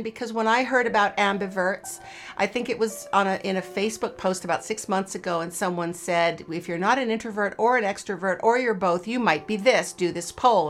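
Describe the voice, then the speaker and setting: A woman's voice: medium pitch, a bit bright. Host, woman from Canada, North American accent with Australian hints, chatty storyteller. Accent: North American with some Australian. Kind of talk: casual interview chat.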